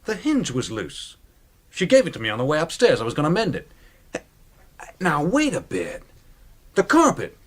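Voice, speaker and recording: A brash American knife-thrower in a radio drama. His slangy speech swings dramatically up and down, pitched a bit high for a man, with an excitable, showy energy. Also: moderate pace, long pauses, heavily acted. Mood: defensive. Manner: slangy, animated.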